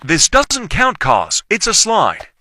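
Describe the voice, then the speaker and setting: Male gameplay commentator, animated intonation, short repetitive statements, noisy recording. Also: high-pitched, slow. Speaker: gaming video creator.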